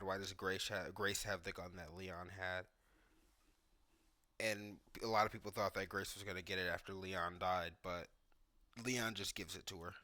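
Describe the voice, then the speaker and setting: A man with a slightly low but bright, crisp-edged voice hosts a gameplay video. His commentary is casual and informal, as if thinking out loud while he plays. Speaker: gaming video host.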